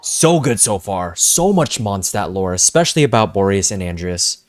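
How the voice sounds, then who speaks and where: A young man giving casual game reaction commentary as a streamer, excitable and full of slangy exclamations. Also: fairly low pitch, normal speed, slight background noise.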